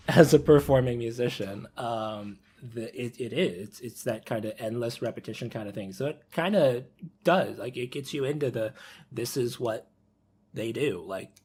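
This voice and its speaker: A man's voice: mid-range voice, expressive, unhurried, slightly rough. Man chatting on a podcast panel. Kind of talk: casual discussion.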